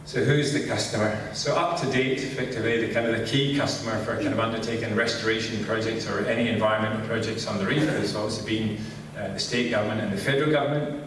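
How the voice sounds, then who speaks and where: A middle-aged man from Scotland with a Scottish accent, giving a relaxed conference talk and saying "kind of" constantly. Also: lowish voice, fast talker, a little hiss or hum. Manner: relaxed, filler-heavy, says "kind of" constantly. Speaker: conference speaker. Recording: echoey hall, distant mic.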